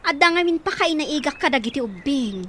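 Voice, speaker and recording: A woman's voice: high voice, lively intonation, fairly quick, pushed and bright, a little hiss or hum. An actress playing a concerned, helpful woman in an Ilocano radio drama, speaking in an earnest, conversational way. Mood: earnest appeal. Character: concerned helpful woman taking in orphaned children.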